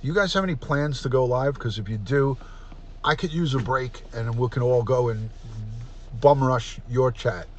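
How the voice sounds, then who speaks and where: A middle-aged man hosting a casual livestream, chatty and repetitive, often clearing his throat. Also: medium pitch, normal speed. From New York. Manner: chatty, repeats himself, frequent throat clearing. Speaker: livestream host, retired detective. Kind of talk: casual livestream chat, reading comments aloud.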